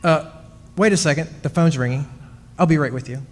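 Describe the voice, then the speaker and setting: A man's voice: on the high side, brisk, noisy background, natural voice. A man playing a henpecked, weary history professor in an old-time radio drama, confiding hesitantly and stammering when flustered. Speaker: actor in a live radio play. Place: club hall stage. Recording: reverberant hall, music behind.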